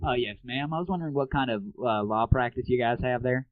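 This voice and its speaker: A man's voice: mid-range voice, fast talker, no echo. Tinny, dry-sounding casual man. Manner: informal, crude slang. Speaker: internet radio show host. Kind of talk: casual comedy radio talk. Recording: lo-fi, phone-like narrowband audio.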